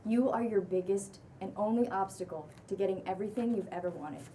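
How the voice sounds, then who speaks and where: A woman playing a calm, friendly but stern non-binary social media guru in their thirties at a script table read, earnest and measured. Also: mid-range voice, close to an everyday voice. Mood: stern, soothing. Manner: earnest, measured motivational delivery. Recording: distant mic, faint room noise.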